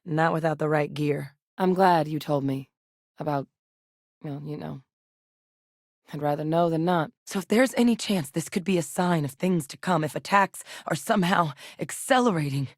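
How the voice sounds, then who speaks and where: An actress voicing a composed adult woman, a scientist and soldier in a game, speaking in a measured, earnest, matter-of-fact way. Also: sits low, dry recording, studio quality. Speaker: video game character. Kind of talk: game voice lines. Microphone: close studio mic.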